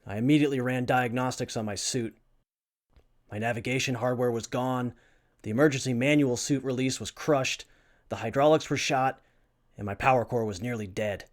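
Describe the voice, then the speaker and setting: A man's voice: mid-range voice, moderate pace, natural voice. A man playing an earnest, brainy young survivor who tinkers with armor, in a post-apocalyptic audio drama. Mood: weary, recounting.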